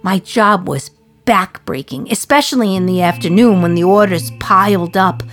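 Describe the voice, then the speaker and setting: An actress playing a proud, passionate working-class communist prisoner in a radio play monologue, talking in a colloquial, emphatic, storytelling way. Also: female voice, medium pitch, normal speed, soft background music, natural voice. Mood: proud, reflective.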